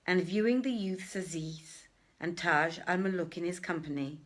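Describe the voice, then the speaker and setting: A woman reading a literary tale aloud as a storyteller, theatrical and deliberate, with dramatic pauses and swells. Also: lowish voice. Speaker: storyteller, reader.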